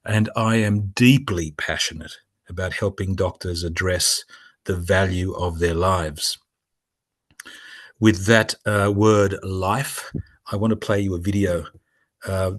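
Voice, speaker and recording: A man's voice: low voice, fairly bright tone, dry recording, studio quality. A man with an Australian accent giving a webinar presentation, tired from the late hour, speaking politely with a few fillers. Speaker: presenter, program director. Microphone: video call.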